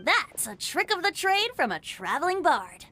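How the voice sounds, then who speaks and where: A woman's voice: very high-pitched, sing-song, moderate pace, sharp tone, soft background music, full character voice. A woman voicing a playful young male bard. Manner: theatrical, flowery, giggly. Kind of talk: video game voice acting.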